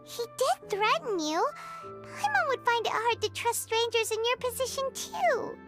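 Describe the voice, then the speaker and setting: Over prominent music comes a tiny game fairy with an extremely high, squeaky, childlike voice. She is chirpy and excitable, and her pitch bounces around. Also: unhurried, heavily acted. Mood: reassuring. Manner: excitable, speaks of herself in third person. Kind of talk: English video game voice acting. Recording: music behind the voice.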